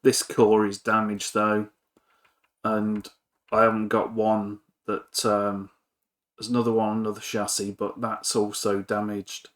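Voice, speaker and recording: A man's voice: fairly low pitch, completely flat delivery, measured pace, a bit bright. A man giving an informal, hesitant, rambling commentary on a repair at his workbench, with a self-effacing manner. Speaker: hobbyist electronics repairer and video presenter.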